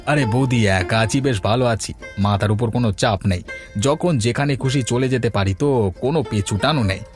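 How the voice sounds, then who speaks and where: Casting: male actor as easygoing, chatty village man for a cartoon folk tale, middling pitch, music underneath. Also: a little fast, darkish tone, close to an everyday voice. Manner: colloquial, chatty. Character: village men: elder headman, easygoing bachelor neighbour. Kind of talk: Bengali cartoon fairy-tale voice acting.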